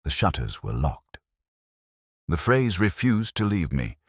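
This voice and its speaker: A man with an extremely deep voice narrates a literary mystery in the first person. His delivery is measured and brooding, turned inward like private reflection. The sound is very dry, with no room around the voice. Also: big pitch swings, breathy edge, dry recording.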